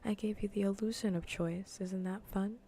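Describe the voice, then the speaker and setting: Woman, soft breathy whisper, mellow dark tone, creaky, playful teasing, ASMR gaming stream, close mic, faint hiss. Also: mid-range voice, fairly even intonation. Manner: teasing, playful, slow and repetitive. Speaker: livestream host. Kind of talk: soft-spoken ASMR gaming livestream chat. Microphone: close ASMR microphone.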